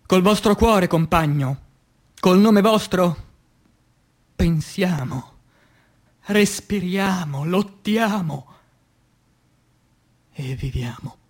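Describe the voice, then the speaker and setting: A man reciting poetry as a stage actor, in a dramatic, declamatory and solemn manner with long pauses. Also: high voice. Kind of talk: dramatic theatrical poetry recitation for radio theatre.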